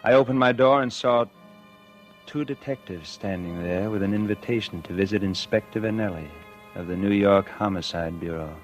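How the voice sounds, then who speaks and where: Low-voiced scheming killer played by an actor. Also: male voice, normal speed, natural voice. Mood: smug, confiding. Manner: confessional first-person narration. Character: guilty, scheming man narrating his own murder. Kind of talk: 1940s radio suspense acting. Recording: vintage 1940s radio with loud music.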